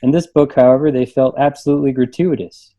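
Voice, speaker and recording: A man reading aloud and chatting casually in a group, amused and quick to chuckle. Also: medium pitch, steady tone, warm tone. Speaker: librarian reading book reviews aloud.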